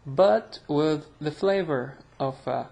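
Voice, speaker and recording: Slow, gravelly-voiced Arabic-accented man teaching. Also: on the high side, mellow. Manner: slow, chatty, self-deprecating, drawn-out sounds. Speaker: language teacher. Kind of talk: casual online language lesson.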